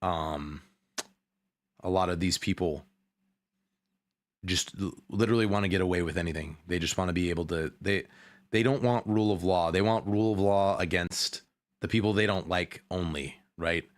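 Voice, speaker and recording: A man giving casual, off-the-cuff commentary as a streamer, rambling and halting as he repeats himself. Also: low-pitched, no echo. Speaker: streamer reacting to a video.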